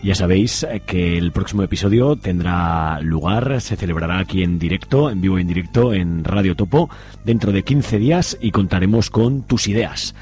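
A man's voice: low voice, normal speed, darkish tone, soft background music. A man presenting informally on radio, announcing to listeners. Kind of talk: informal programme sign-off announcing.

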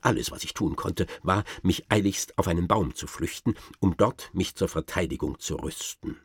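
An actor playing a boastful old-time baron, telling tall tales with ornate, dryly ironic theatrical expression in a radio play. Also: male voice, low-pitched, moderate pace, husky, dry recording, studio quality. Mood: wry, boastful. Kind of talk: theatrical first-person storytelling in a radio play.

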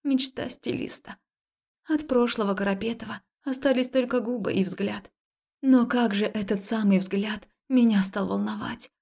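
A woman narrating a first-person romance story as an audiobook, expressive and confessional, acting out the dialogue. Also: fairly high pitch, normal speed, gravelly.

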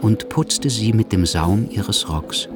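A man narrating a literary radio drama in a measured, storytelling manner. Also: on the low side, expressive, music in the background.

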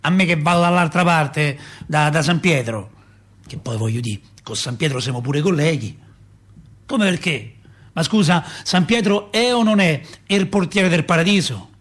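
An actor playing a talkative middle-aged working-class Roman father, a doorkeeper, in an expressive, rambling radio-play monologue with a Roman accent and a husky voice. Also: fairly high pitch, normal speed. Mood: exasperated. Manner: expressive, rambling, repeats himself and asks rhetorical questions. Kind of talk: theatrical radio monologue, colloquial storytelling.

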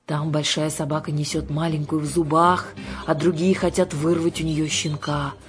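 A woman's voice: low-pitched, level tone, moderate pace, lightly acted. Audiobook witch fortune-teller played by a female reader: bossy, sly, brusque and theatrical, music behind. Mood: mysterious. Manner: brusque, mysterious, colloquial.

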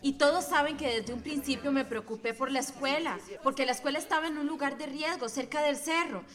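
An actress playing an earnest newcomer pleading with villagers in a radio drama. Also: female voice, on the high side, close to an everyday voice.

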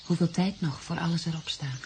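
A woman's voice: deep voice, brisk, noisy background. An actress playing an anxious, devoted young woman in love in a radio drama. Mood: earnest.

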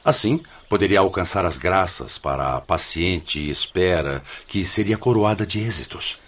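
A man's voice: low voice, lively intonation, a bit bright. A man with a Brazilian accent playing a patient, paternal spiritual counsellor and hospital director in a radio drama, speaking solemnly and formally. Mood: earnest, admonishing. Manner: solemn, measured, admonishing.

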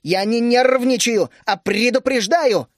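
A man voicing a bossy, hot-tempered female gnome who scolds and shouts. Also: high voice, lively intonation, moderate pace, dry recording, clean studio sound. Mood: furious. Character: stern bossy female gnome housewife, fiery.

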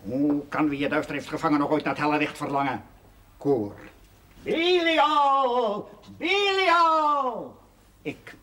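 A man's voice: a little high, lively intonation, slow pace, pushed and bright, exaggerated voice. An actor playing an earnest amateur playwright who declaims his pompous religious verse in a 1970s television drama. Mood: devilish, exultant. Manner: theatrical declaiming, hesitant between recitations. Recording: noisy 1970s television soundtrack.

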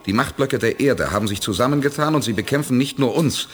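An actor playing a firm, insistent human space commander in a 1980s German radio play. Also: male voice, mid-range voice, expressive, fast, a little hiss or hum, lightly acted. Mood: urgent warning.

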